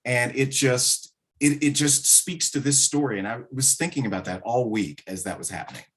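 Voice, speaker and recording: A man speaking as a theater director in a conversational interview, with expressive, emphatic phrasing. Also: medium pitch, normal speed.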